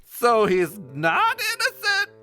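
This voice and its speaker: Theatrical high judge: bright, booming, easily baffled, hugely animated pitch. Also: male voice, slow pace, soft background music, exaggerated voice.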